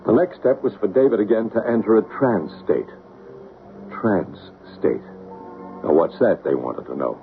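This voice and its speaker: An actor playing a psychic medium who leads a séance in a calm, coaxing, patient way. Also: male voice, lowish voice, normal speed, vocal fry, soft background music. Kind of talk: 1970s radio drama acting. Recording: tinny old radio broadcast.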